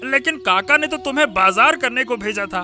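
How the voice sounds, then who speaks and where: A man's voice: extremely high pitch, expressive, a little fast, pushed and bright, full character voice. A man playing an overeager, fussing young helper in a cartoon story, stammering and repeating words. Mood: eager. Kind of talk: Hindi cartoon story dialogue.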